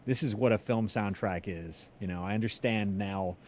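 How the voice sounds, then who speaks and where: Male co-host, low voice, enthusiastic slangy storyteller, conversational stop-start delivery, some noise in the room. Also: normal speed, a little mellow. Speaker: co-host of a music interview show. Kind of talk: casual chat, enthusiastic storytelling.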